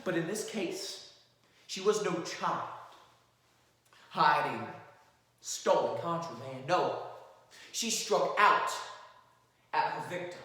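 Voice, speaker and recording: A man performs an impassioned male defense lawyer addressing a jury in a theatrical monologue. His forceful delivery is emphatic and sweeps widely in pitch, broken by dramatic pauses. It is heard from a distance in a very echoey hall. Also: lively intonation, heavily acted. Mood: grave, building. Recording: very echoey hall, far from the mic.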